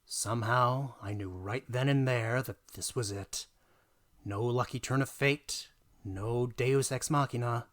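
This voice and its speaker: A man narrating a dramatic reading of a tabletop gaming story, theatrical and emphatic, pausing for effect. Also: mid-range voice.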